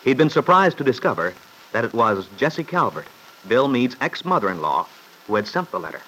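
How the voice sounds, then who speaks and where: A man's voice: mid-range voice, sing-song, a little fast, a bit bright. A man giving scripted narration for an old-time radio serial. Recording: noisy old radio broadcast recording.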